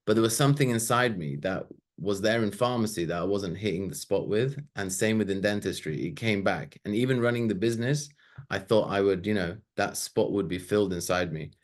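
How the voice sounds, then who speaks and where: A man's voice: on the low side, brisk. A man, giving a casual, chatty webinar talk with loose asides and fillers. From Sweden. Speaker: webinar presenter.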